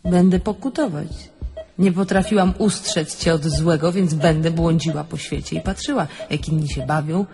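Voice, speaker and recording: An actress playing a man's immortal soul in a radio drama, articulate, ironic and gently scolding. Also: sits low, gravelly. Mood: solemn irony. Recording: music under the voice.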